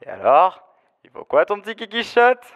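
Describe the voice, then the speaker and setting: A man's voice: sits high, wildly swooping pitch, normal speed, big-room sound, highs cut off, heavily acted. A young man playing a flamboyant, gossipy best friend in a comic audio drama, with theatrical, catty, slangy delivery. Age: teenager.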